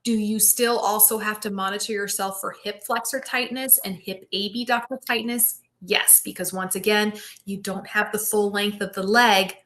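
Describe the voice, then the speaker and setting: A middle-aged woman physical therapist hosting a live Q&A stream, explaining anatomy with enthusiasm and often checking in with "right?". She projects loudly after taking off her microphone. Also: mid-range voice, fairly even intonation, normal speed, hardly any pauses. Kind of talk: live-stream Q&A, casual teaching. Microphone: built-in device mic.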